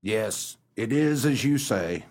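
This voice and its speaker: An actor playing a gloomy, stern old farmer in a comic radio melodrama, husky and gravelly, with theatrical, florid delivery. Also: male voice, fairly low pitch, lively intonation, slow pace, darkish tone, full character voice.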